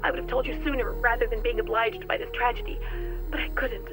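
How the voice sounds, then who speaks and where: An actress playing a guilt-ridden, distraught woman in a video game, making a halting, emotional confession on a recorded message. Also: a little high, steady tone. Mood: regretful. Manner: halting, weighty, emotional delivery. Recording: recorded message under music.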